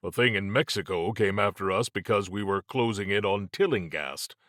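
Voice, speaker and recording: A man voicing a practical, skeptical male agent in an audiobook, flat and matter-of-fact. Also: lowish voice, normal speed, no echo, clean studio sound, natural voice. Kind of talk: audiobook character dialogue.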